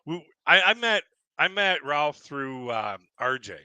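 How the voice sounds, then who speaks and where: A man hosts a livestream chat in a strikingly bright, forceful voice that sounds dry and close, with no room around it. He rambles easily and leans on fillers, keeping the talk loose and friendly in tone. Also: fairly high pitch, very animated, a little slow, clear, resonant tone, no room sound. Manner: rambling, heavy on fillers like "you know, like".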